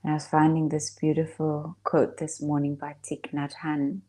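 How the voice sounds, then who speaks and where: A woman speaking as a meditation guide, in a soft, breathy, hushed voice with long pauses. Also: low-pitched, completely flat delivery. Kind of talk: gentle guided meditation talk.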